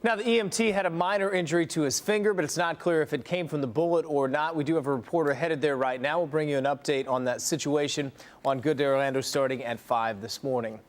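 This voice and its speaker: An American man anchoring TV morning news in a scripted news-reading style. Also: on the high side.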